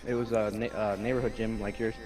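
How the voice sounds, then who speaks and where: A man's voice: fairly low pitch, flat delivery, fast, music in the background. A young man casually telling anecdotes to a friend, rambling, slangy and full of swearing. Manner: slangy, profane, loose and rambling.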